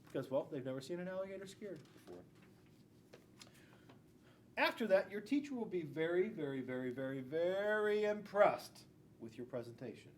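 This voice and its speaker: Middle aged guy doing a kids read-aloud, very up and down, punches key words, some rumbly background noise. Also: very animated. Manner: emphatic, drawn-out key words with asides to young listeners. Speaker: school resource police officer. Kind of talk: children's picture book read-aloud. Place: indoors in a school classroom.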